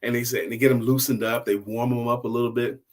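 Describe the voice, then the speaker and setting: A man's voice: medium pitch, steady tone, fast. Male storyteller, middle-aged. Conversational and emphatic, playing to listeners, acting out bits of dialogue. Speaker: storyteller and sales coach. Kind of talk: casual storytelling to an audience.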